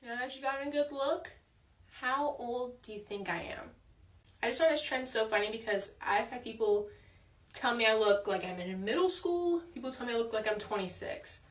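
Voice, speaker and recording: A woman's voice: a little high, normal speed. A woman of twenty-one talking casually to her viewers in a social media video. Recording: echoey room, far from mic.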